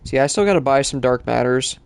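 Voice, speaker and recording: A man's voice: medium pitch, lively intonation, quick pace, vocal fry, soft background music. A male streamer chatting casually while playing, sounding congested from allergies and rambling with lots of fillers. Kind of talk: live-stream gaming chat.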